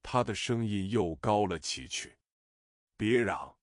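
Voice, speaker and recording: A man's voice: on the low side, animated, measured pace, soft background music. A man reading a novel aloud as narrator, expressively voicing the characters' lines. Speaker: audiobook narrator.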